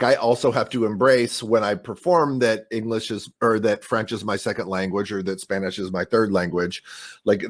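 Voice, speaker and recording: A man from the United States, an improviser speaking on a panel, thinking aloud with frequent fillers. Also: on the low side. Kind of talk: online panel discussion.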